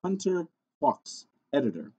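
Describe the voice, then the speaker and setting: A man's voice: on the high side, sing-song, measured pace, dark tone, breathy edge, voice pushed up, heavily acted. A man voicing a snooty, blunt book editor in a storybook, curt and haughty. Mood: dismissive.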